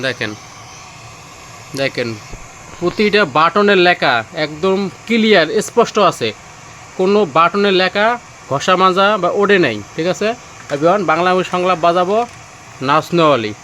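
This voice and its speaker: A man's voice: a little high, a little fast, bright, forceful tone, slight background noise. A man presenting goods for sale in an informal demonstration video, with repetitive patter that keeps urging viewers to look. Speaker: seller presenting used car stereo gear.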